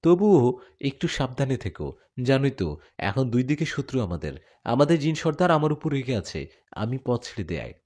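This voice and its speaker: A man's voice: mid-range voice, sing-song, normal speed, a little mellow. A man voicing a devoted young male jinn warrior who pleads and argues in a spoken story. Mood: earnest. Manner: earnest, pleading.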